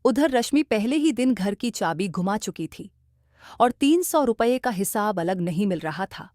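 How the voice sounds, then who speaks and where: A woman's voice: medium pitch, animated, moderate pace, a little mellow, no echo, studio quality. A woman narrating a scripted cartoon bedtime story as a storyteller.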